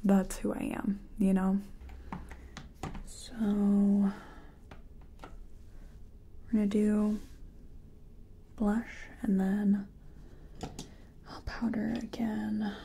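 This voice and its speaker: A woman speaking in a breathy, mostly whispered voice, gently murmuring and hesitant, as a makeup artist in a personal-attention ASMR roleplay. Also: mid-range voice, little pitch movement, drawn-out words, long pauses, a little hiss or hum.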